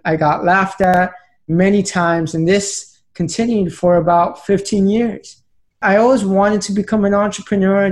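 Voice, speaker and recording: A man's voice: high-pitched, darkish tone. A man in his late twenties, a podcast guest telling his story in an earnest, plain way. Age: late 20s. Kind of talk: conversational storytelling.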